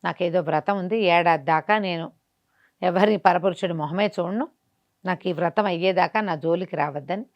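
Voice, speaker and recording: A woman's voice: lowish voice, moderate pace, no room sound, dull audio, lightly acted. Folk-tale role: a woman as a troubled young bride, questioning, protesting, standing firm. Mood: firm, resolute. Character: distressed, resolute young wife.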